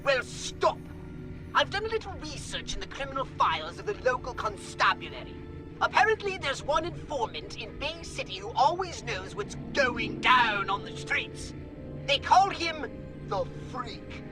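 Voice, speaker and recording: A man's voice: extremely high pitch, sing-song, moderate pace, music in the background, heavily acted. A man voicing a fussy, irritable old alien mentor in a video game, with pedantic, exasperated delivery. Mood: fussy, lecturing. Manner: exasperated, pedantic, formal diction. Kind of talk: video game cutscene acting.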